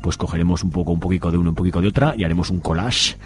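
Deep-voiced man presenting on radio. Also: racing, darkish tone, slight background noise. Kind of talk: informal programme sign-off announcing.

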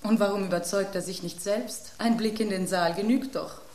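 An actress voicing a vain, romantic female rose who talks with dramatic excitement, in a radio play. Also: mid-range voice, normal speed, reverberant, lightly acted. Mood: excited.

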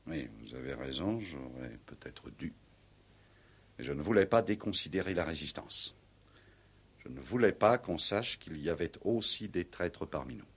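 Very deep, husky, vengeful old-soldier commander. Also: male voice, animated, normal speed, a bit bright, heavily acted. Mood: grave confession, then haunted, intense. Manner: grave, solemn, formal, dramatic. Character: proud, vengeful male former Resistance commander confessing. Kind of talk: 1960s French radio play acting.

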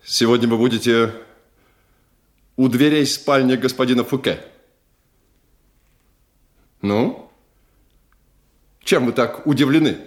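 A man's voice: mid-range voice, takes it slowly, pauses often, natural voice. Male actor for a curt royal figure, medium register, brooding authority, clipped radio-drama delivery. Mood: cold, commanding. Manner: commanding, clipped. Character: curt, brooding king giving cold orders. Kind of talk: radio play acting.

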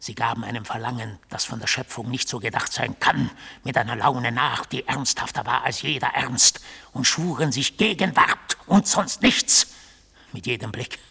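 Stern condemning god, very husky, gravelly, solemn, rhetorical, radio drama monologue. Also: male voice, mid-range voice, normal speed, bright, forceful tone, full character voice. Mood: severe, bitter.

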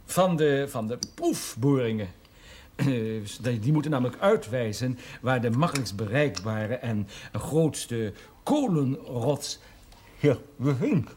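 A formal businessman with a mid-pitched, faintly husky voice. He speaks in measured, persuasive sentences, as in a period television drama. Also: very animated, a little mellow, exaggerated voice. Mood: businesslike. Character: businesslike, persuasive man promoting a mining land deal.

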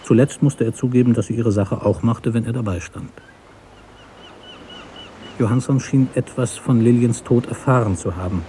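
A man narrating a literary story for radio in a measured, deliberate way. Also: medium pitch, warm tone, slight background noise.

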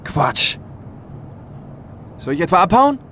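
A man's voice: high-pitched, very animated, slow, background noise, voice pushed up, full character voice. A man voicing a cocky, foul-mouthed young small-time thief in an audiobook, brash, sneering and slangy. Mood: defiant, scornful.

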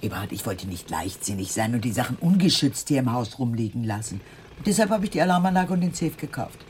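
Giddy older German radio-play aunt, swooping voice. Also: female voice, extremely low pitch, big pitch swings, fast talker, a little mellow, slight background noise. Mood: breezy, delighted. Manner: theatrical, effusive, exclamatory. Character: giddy, extravagant older aunt, girlish and pleasure-loving.

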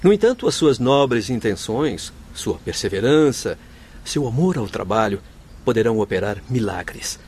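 An actor playing an earnest, courteous doctor spirit in a Brazilian Portuguese radio drama, speaking formally and eloquently. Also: male voice, mid-range voice, very animated, normal speed, a little mellow, a little hiss or hum. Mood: solemn, counselling.

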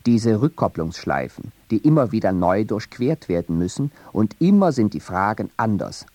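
A man reads an essayistic radio narration in a measured, reflective way. His voice sits low and sounds warm and dark. The studio sound is dry and close. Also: no echo.

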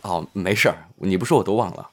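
Man playing a low-voiced, gentle, modest actor. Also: fast talker. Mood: modest, polite. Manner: polite, mild, hesitant. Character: modest, gentle, considerate male minor actor. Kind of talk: audio drama acting.

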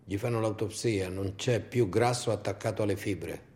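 A man's voice: fairly low pitch, moderate pace, darkish tone, breathy edge. A man performing a dramatic war monologue as a theatrical, bitterly ironic storyteller. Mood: bitter irony. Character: bitter, sardonic male storyteller of war captivity.

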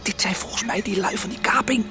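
A man's voice: high-pitched, fairly quick, over music, natural voice. A male actor in a Dutch radio play playing an earnest young boy detective. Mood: worried.

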